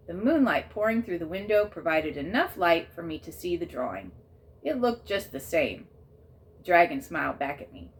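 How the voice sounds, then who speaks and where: A woman with a slightly low voice reads a children's chapter book aloud. She narrates in the first person, in the style of a teacher reading to a class. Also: a little hiss or hum.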